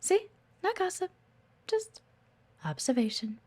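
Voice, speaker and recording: A woman's voice: very high-pitched, sing-song, unhurried, a little mellow, full character voice. A woman playing a playful, teasing, observant executive assistant in an intimate one-sided audio roleplay. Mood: coy, gossipy. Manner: coy, teasing, chatty, with murmured asides.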